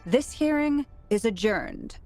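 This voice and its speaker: A woman playing a formal, authoritative official presiding in an anime-style video game, measured and stern. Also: a little high, animated, darkish tone. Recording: music behind the voice. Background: game soundtrack music.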